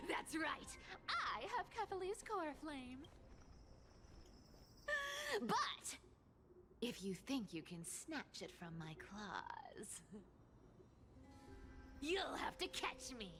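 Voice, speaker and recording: A woman's voice: sits high, wildly swooping pitch, drawn-out words, long pauses, full character voice. A woman voicing a playful, cocky cat thief in a video game, theatrically teasing with whispers and giggles. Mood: smug, teasing. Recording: loud game music behind. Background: game music.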